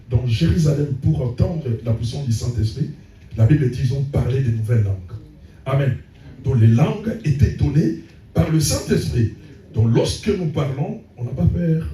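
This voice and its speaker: A man's voice: medium pitch, dark tone, slight background noise. A man preaching a sermon as pastor, punctuating his points with frequent calls of amen. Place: church hall. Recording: echoey church room, voice from a distance.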